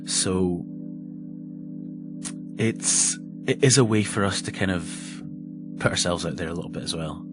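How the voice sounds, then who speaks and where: A man's voice: deep voice, measured pace, pauses often, music in the background. A young man from Egypt hosting a casual podcast, talking in an enthusiastic, offhand way and swearing now and then. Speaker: podcast host. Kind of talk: casual solo podcast chat.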